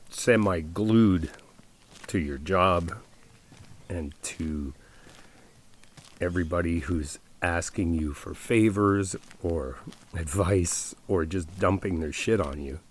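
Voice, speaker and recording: A man playing a caring, laid-back best friend in an ASMR roleplay, speaking conversationally with hesitant, reflective pauses. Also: low-pitched, full character voice.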